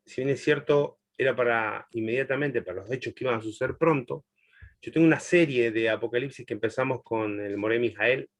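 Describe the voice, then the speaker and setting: A middle-aged man from Chile, raised in Argentina, teaching a Bible class in a chatty, laughing way. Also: medium pitch. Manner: warm, chatty, laughs often, long pauses. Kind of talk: answering a student in a live class.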